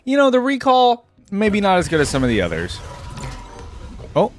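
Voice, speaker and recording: A man's voice: high voice, huge pitch swings, normal speed. A man giving casual reaction commentary as a video host, musing and thinking aloud. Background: game music and sound effects.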